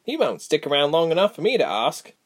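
A man's voice: fairly high pitch, a little fast, pitched above the performer's own voice. A man playing a blunt, scolding woman healer. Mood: level. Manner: calm, level, scolding. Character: blunt, sharp-tongued woman healer, calm but livid.

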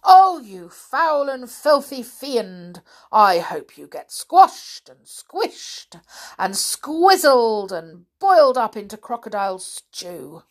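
A woman voicing a big, indignant male elephant in a storybook, scolding emphatically with drawn-out words. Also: mid-range voice, lightly acted. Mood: outraged.

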